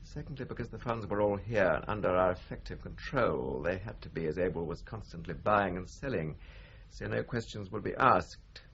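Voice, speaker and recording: An actor playing a pompous, guarded English solicitor in a radio drama, speaking with pedantic, formal precision. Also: male voice, deep voice, lively intonation, moderate pace, gravelly, hardly any pauses, fairly bright tone, dull audio, a little hiss or hum, heavily acted. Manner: pedantic, haughty, formal enumeration. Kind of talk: 1980s radio drama acting.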